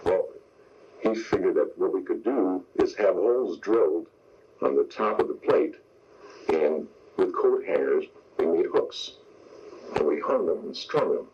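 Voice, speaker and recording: A man's voice: sits low, animated, measured pace, noisy background. An older man, a veteran radio sound effects man, explaining his craft step by step in an interview. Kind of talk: interview reminiscence. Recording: dull lo-fi radio broadcast tape.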